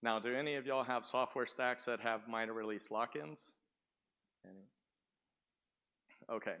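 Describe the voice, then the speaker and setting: A man giving a sales presentation at a tech conference, booming and often asking the audience questions. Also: normal speed, a bit bright. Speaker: conference speaker. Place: conference room. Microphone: speaking without the microphone at first.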